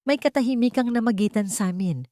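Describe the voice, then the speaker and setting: A woman narrating a scripted drama in the first person, with deliberate, dramatic short phrases. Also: on the high side, warm tone, no room sound, clean studio sound. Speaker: story narrator.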